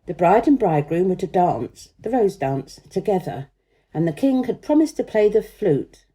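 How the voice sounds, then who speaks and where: A woman reads a children's story with expressive narration. Her tone is very soft and mellow, and her pitch moves in lively sweeps. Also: moderate pace.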